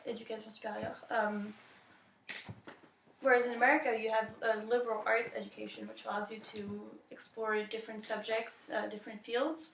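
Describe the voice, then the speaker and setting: A woman's voice: a little high, level tone, a little fast, creaky, a bit bright, slight background noise. A young woman from France, an international student, speaking thoughtfully in an interview with frequent fillers. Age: young adult. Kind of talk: interview testimony. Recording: echoey room, mic at a distance.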